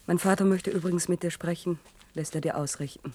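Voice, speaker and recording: A woman's voice: on the low side, steady tone, fairly quick, mellow, slightly rough. An actress playing a sensible young woman who admonishes her partner in a German science-fiction radio drama. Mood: pragmatic, calm. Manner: admonishing, pragmatic. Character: sensible, cautioning young woman, dissident's girlfriend.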